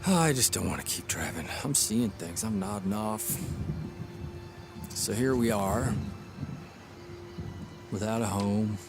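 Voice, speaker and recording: An actor playing a weary, lonely travelling writer, confiding haltingly in a period radio drama monologue. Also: male voice, lowish voice, lively intonation, vocal fry, noisy background, full character voice.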